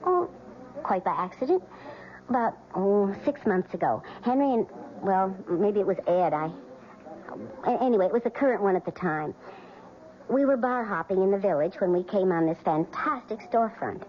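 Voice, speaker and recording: A woman's voice: medium pitch, animated, normal speed, natural voice. An actress playing a chatty, scatterbrained female best friend in a radio drama, talking in a gossipy, hesitant way. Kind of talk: 1970s radio drama acting. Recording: lo-fi old radio broadcast.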